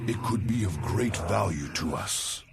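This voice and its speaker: An ancient, noble alien warrior speaks in a low, gravelly voice. His phrasing is solemn and archaic, measured in pace, as in a dramatic video game scene. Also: expressive, over music. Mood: grave, resolute. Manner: solemn, formal, archaic diction.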